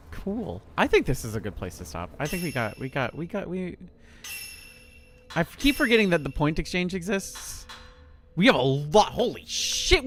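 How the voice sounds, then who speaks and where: Chatty, profane man streaming a game. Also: a little high. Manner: laid-back. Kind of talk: casual gameplay commentary.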